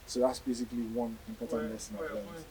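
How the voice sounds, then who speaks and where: A young man with a Nigerian accent answering interview questions as a student actor, haltingly and repeating himself. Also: mid-range voice, flat delivery, dark tone, slight background noise, low-quality recording. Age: young adult.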